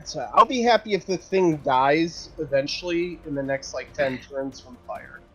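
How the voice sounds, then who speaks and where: A man's voice: fairly high pitch, normal speed, sharp tone. A man talking casually as a player during a tabletop roleplaying game. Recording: slightly dull lo-fi mic, music behind.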